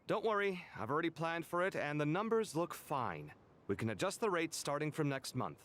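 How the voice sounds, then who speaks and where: A man voicing a principled, cultured young merchant lord in a video game, articulate and expressive. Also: on the high side, brisk. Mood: businesslike.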